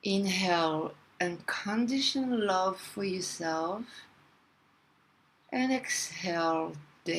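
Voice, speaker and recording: A woman leading a guided meditation in a soothing, deliberate manner, leaving long pauses between phrases. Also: on the low side, gravelly, a little hiss or hum, poor audio.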